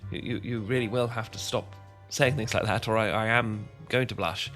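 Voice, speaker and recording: A man with an English accent playing a charming, shy young gentleman vampire in an ASMR romance roleplay, polite and old-fashioned, often stumbling over his words. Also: on the low side, steady tone, normal speed, over music, natural voice. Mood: shy, courteous. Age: young adult.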